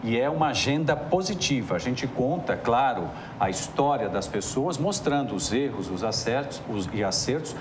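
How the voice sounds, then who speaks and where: A Brazilian man hosts a talk-show interview with very animated pitch. He is chatty and effusive as he puts questions to his guest. Clear noise sits in the recording. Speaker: TV interviewer and host. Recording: clearly noisy TV interview sound.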